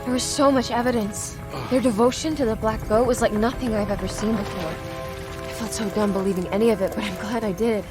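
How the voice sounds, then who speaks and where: An actress as a determined, caring horror game heroine. Her voice is a little high and earnest, with music playing clearly behind her. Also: steady tone, brisk, close to an everyday voice. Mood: relieved, earnest. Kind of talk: video game cutscene acting.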